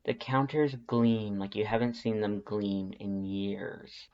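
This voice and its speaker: A narrator reading fan fiction aloud in an expressive, scripted storytelling style. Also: medium pitch, takes it slowly, dull audio.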